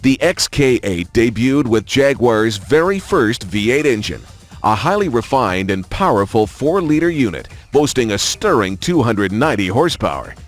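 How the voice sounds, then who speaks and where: Male narrator for racing game car showcase. Polished announcer read, lively intonation, music bed underneath. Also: medium pitch, no room sound. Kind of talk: scripted promotional narration.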